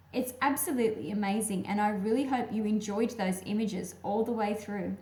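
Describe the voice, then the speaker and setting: Female children's read-aloud presenter, fairly high voice, addressing young listeners directly. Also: darkish tone.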